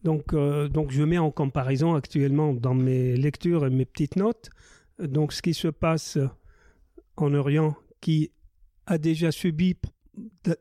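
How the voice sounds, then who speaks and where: A man in his sixties, originally from Palestine, an agronomist speaking in a group discussion, measured and searching for words. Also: on the high side, mellow, no echo, clean studio sound. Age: 60s. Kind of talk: casual discussion.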